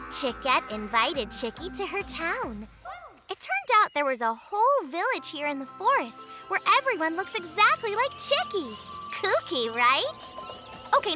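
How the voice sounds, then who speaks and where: A very high, swooping voice of a young cartoon animal tells a story over music. It is childlike, excitable and chatty. Playful, slangy asides give it a theatrical flair. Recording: music behind the voice.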